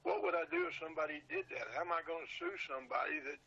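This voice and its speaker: An older retired man with a raspy, husky voice chatting casually as an interview guest, plain-spoken and repeating phrases for emphasis. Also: a little high, wildly swooping pitch, very fast, sharp tone, dull audio. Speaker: podcast guest, seller of old radio show recordings.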